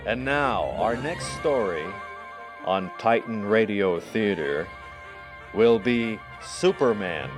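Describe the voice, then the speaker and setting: A man's voice: lowish voice, animated, slow, over music. A man hosting a radio drama show with a scripted introduction.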